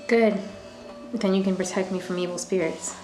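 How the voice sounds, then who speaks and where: An actress playing a desperate, lonely, nervous young woman in an audio drama, with halting, emotional delivery. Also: mid-range voice, steady tone, lightly acted. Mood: nervous, resolved. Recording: roomy recording under loud music.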